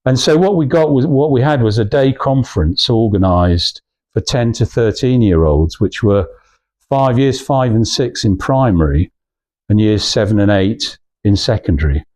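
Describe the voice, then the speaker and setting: A man's voice: lowish voice, expressive, moderate pace, a little mellow. Hesitant man giving an informal talk. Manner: hesitant, rambling, anecdotal, restarting phrases. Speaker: guest speaker at a club talk. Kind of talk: informal talk to a small audience.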